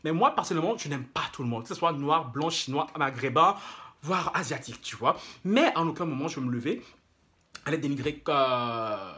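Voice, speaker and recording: A man's voice: fairly high pitch, lively intonation, normal speed, pushed and bright. A man delivering an opinionated, casual monologue to his viewers, indignant and mocking, repeating phrases for emphasis. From Africa. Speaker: YouTube commentator.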